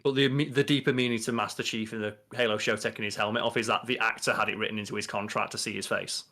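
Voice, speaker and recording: A man's voice: fairly low pitch, fairly even intonation, fast, pushed and bright. A man in his thirties co-hosting a podcast, chatting casually and opinionatedly with plenty of swearing. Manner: opinionated, sweary, mock-quoting. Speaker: podcast co-host. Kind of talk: casual chat. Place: home, at a desk indoors.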